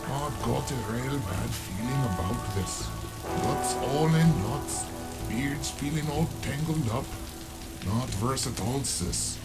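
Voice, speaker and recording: A jittery stocky crook, always griping, medium pitch, music behind. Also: male voice, lively intonation, takes it slowly. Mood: anxious, griping. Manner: fretful, complaining. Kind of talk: scripted audio drama dialogue.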